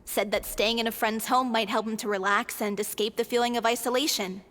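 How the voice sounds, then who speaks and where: A woman's voice: fairly high pitch, steady tone, a little fast, a little hiss or hum, lightly acted. An actress voicing an earnest young woman of a theatre troupe in a video game, explaining things sincerely. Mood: hopeful. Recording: loud music behind the voice.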